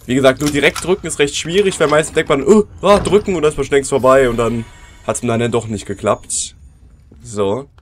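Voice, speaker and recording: A man talks his viewers through a game from his computer at home, his voice worn by a cold and a sore throat. His pitch sits in an ordinary middle range, and he chats along easily as he plays. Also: brisk, soft background music. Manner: chatty, addresses viewers directly. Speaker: let's play commentator. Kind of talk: casual gameplay commentary.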